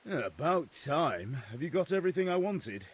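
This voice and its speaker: An actor playing a middle-aged blacksmith father in a video game, gruff and authoritative. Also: on the high side, big pitch swings, moderate pace. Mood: pleased, businesslike. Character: stern middle-aged blacksmith father, proud of his craft. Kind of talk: video game cutscene acting.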